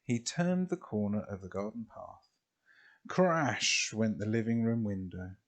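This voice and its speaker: A man reading a children's storybook expressively, in a deliberate way with drawn-out phrases. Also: lowish voice.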